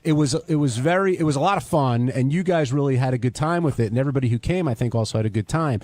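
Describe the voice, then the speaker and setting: A man asking probing questions in a casual talk-show style, a little halting, close on a studio mic. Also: mid-range voice, darkish tone, no echo. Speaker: radio host questioning guests. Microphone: close studio radio mic.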